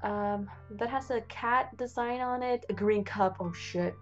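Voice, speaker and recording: A woman's voice: a little high, measured pace, lo-fi sound, faint music behind. A young woman hosting a let's play, reading the game's text aloud and reacting casually, with frequent swearing. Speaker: gaming channel host.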